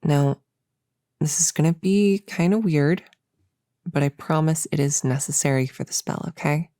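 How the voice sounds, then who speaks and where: A woman playing a nervous, eager young novice witch in an ASMR roleplay, speaking softly and hesitantly, often near a whisper, close to the mic. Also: low voice, no room sound, close to an everyday voice. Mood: eager instructing. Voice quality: soft, often dropping into whisper. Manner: halting, soft-spoken, hesitant and reassuring. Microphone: close-up mic in a dry, quiet space.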